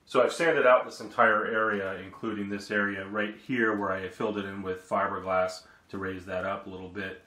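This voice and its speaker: A man's voice: on the low side, level tone, normal speed, hardly any pauses, pushed and bright, echoey room, slight background noise. A man giving a plain, matter-of-fact how-to narration about work on his sailboat. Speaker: vlogger refitting his sailboat. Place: aboard a boat in a marina.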